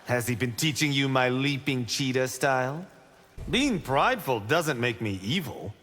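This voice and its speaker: A man's voice: medium pitch, animated, a little slow, fairly bright tone. An actor playing a proud young male Shaolin warrior in a video game, brash and boastful, with long reverb. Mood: cocky, playful. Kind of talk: video game character dialogue. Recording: long reverb, faint noise.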